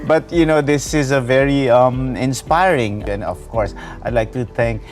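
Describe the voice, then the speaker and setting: A male talk show host with a Filipino accent, speaking at a middle pitch and mixing English and Tagalog. He wraps up the interview with questions and thanks. Soft music plays faintly underneath. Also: moderate pace. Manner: mixes English and Tagalog, thanks guest warmly.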